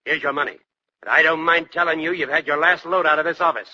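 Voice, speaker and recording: An actor playing a shifty, spiteful, blustering haulage boss in a 1940s radio drama. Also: male voice, a little high, pushed and bright. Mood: flustered, spiteful. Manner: blustering, backs down quickly. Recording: dull old radio recording.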